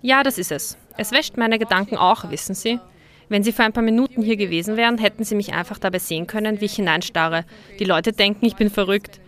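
A woman's voice: medium pitch, fast, close to an everyday voice. A woman voicing a dubbed interview with a dreamy young laundromat customer, reflective and conversational.

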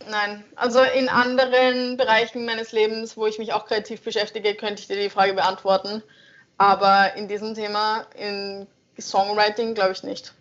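A young woman talks through her songwriting in a relaxed interview, pausing and searching for the right words. Her voice sits a little high. The recording is dull and lo-fi. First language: German. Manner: hesitant, searching for words, laughs often. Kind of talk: casual interview answers. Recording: dull lo-fi sound.

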